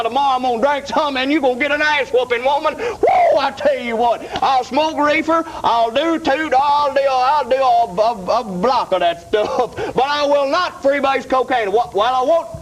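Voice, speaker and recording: A man's voice: very high voice, low-quality recording. A man giving a rambling comic testimonial in a folksy, repetitive, emphatic way.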